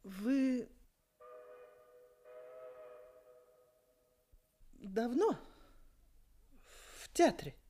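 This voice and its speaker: A woman's voice: a little high, lively intonation, drawn-out words, dark tone, slight background noise. An actress playing a simple, flustered middle-aged mother of the bride in a Soviet radio play, hesitant, murmuring and exclaiming breathily. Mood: astonished, polite. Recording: studio radio play with music.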